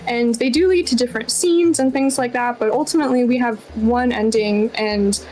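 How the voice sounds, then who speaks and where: A woman's voice: a little high, moderate pace, darkish tone, low-quality recording. A woman giving casual, chatty interview answers as a game developer, with lots of informal asides. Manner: chatty, informal, many "like" and "you know" asides.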